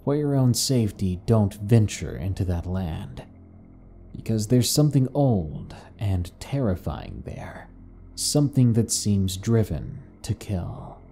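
A man reading scripted horror stories as a narrator, in a deliberate, grave manner. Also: on the low side, sing-song, creaky, mellow, slight background noise. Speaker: narrator and host.

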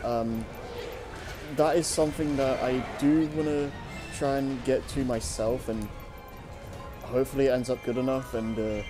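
A man co-hosting a show, chatting casually and unscripted in a hesitant, halting way. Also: mid-range voice, fairly even intonation, music in the background. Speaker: co-host of a story-reading show. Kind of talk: casual unscripted chat over gameplay.

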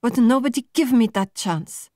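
Young woman, frustrated job-seeker in a novel, higher voice, blunt phrasing, played by a female narrator. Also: expressive, darkish tone, no room sound, close to an everyday voice. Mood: angry, pleading. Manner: blunt, halting non-native phrasing.

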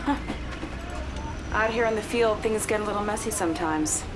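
A woman's voice: mid-range voice, moderate pace, noisy background, natural voice. An actress playing a tough, blunt, cocky female commando in a game cutscene. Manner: blunt, casual.

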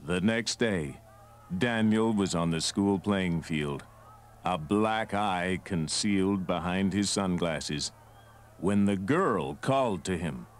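A man's voice: low-pitched, slow pace. A man reading scripted narration for a read-along children's story. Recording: narration from an old cassette. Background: loud music.

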